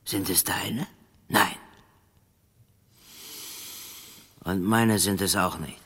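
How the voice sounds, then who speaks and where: A man's voice: low-pitched, steady tone, a little slow, fairly bright tone, breathy edge, full character voice. A man playing a smug, menacing gangster boss in a German crime radio drama, with oily, chummy, hesitant phrasing. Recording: long reverberant hall sound.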